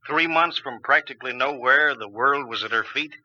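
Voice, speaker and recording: Bright-voiced, slangy radio sidekick. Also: male voice, mid-range voice, moderate pace. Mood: sympathetic. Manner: slangy, informal. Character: amiable giant sidekick, plain-spoken and loyal. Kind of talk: 1940s radio drama acting. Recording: muffled 1940s radio broadcast.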